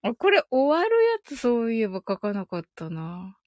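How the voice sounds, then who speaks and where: A woman's voice: fairly high pitch, huge pitch swings, normal speed, a little mellow, no echo, clean studio sound. A woman hosting a casual live audio stream, chatting politely with her listeners and often breaking into giggles.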